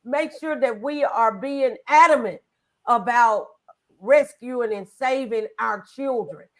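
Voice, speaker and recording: A woman's voice: fairly high pitch, takes it slowly. A woman hosting a motivational talk show, speaking in an earnest, emphatic, conversational way.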